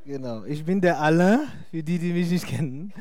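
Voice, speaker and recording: A man's voice: a little high, moderate pace, a little mellow, no room sound. A young man preaching informally in German, a non-native speaker who talks hesitantly with many fillers. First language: not German. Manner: chatty and hesitant, many fillers, laughs often. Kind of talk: informal sermon.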